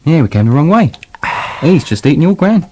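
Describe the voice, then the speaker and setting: A man's voice: a little high, very animated, fairly quick, dark tone, a little hiss or hum. A man playing a wolf's mild, matey sidekick in a comic radio play. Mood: offhand. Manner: offhand, matey.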